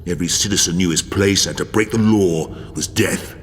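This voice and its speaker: An actor playing a brooding, embittered and ruthless warlord in a dramatic audiobook, grim, deliberate and theatrical. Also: male voice, low voice, a little hiss or hum, lightly acted. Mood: cold menace. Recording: long hall reverb, music behind.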